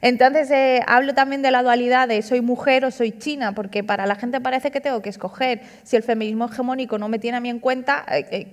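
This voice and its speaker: A woman's voice: fairly high pitch, steady tone, fairly quick, few pauses. A woman in her thirties, an author, speaking casually and animatedly at an onstage book talk, tossing in frequent tag questions. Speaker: author and comic artist. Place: large auditorium stage. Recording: long hall reverb.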